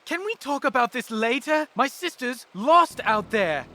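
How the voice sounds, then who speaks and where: An earnest, anxious young boy wizard from a fantasy game. His very high voice is bright and urgent, with faint music underneath. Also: very animated, unhurried, heavily acted. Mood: worried. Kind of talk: fantasy game character dialogue.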